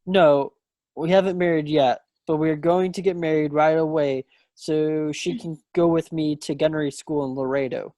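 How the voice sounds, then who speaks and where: A man's voice: on the high side, steady tone, moderate pace, dark tone, dry recording, lightly acted. A man playing an earnest, stubborn young soldier in love, in an amateur play read over a video call. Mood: resolute.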